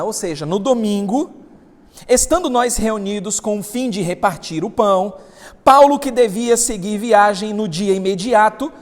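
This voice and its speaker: A man from Salvador, preaching a sermon as pastor, rising emphatically when reading scripture. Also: high-pitched. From Salvador, Bahia, Brazil. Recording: long hall reverb.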